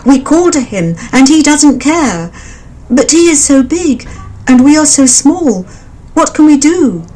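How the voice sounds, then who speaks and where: A woman reading a storybook voices a group of small, frightened frogs in a high, pleading tone. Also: sing-song, unhurried, warm tone, faint music behind.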